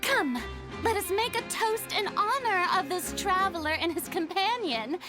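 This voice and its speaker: A woman's voice: extremely high pitch, animated, normal speed. A woman playing a grandiose, showy young female ruler in a video game, declaiming a pompous public speech. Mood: grand, celebratory. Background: music.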